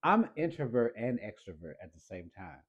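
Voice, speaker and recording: A man in his fifties talking in a casual panel chat, emphatic, with his pitch jumping up when he insists on a point. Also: very animated, normal speed, slightly rough. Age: 50s. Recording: dull lo-fi sound.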